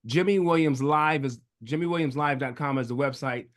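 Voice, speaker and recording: Higher-voiced man coaching on a video call. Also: a little fast. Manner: relaxed, repeats words as he goes. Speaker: real estate coach, guest. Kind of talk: casual webinar talk.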